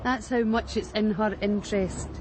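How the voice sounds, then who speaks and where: An actress playing a brash, streetwise Glasgow streetwalker in a radio drama, breezy and frank, with a Glaswegian accent. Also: female voice, medium pitch, fairly even intonation, normal speed, close to an everyday voice. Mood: matter-of-fact. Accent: Glaswegian Scottish. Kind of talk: naturalistic radio drama acting. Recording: faint urban street noise.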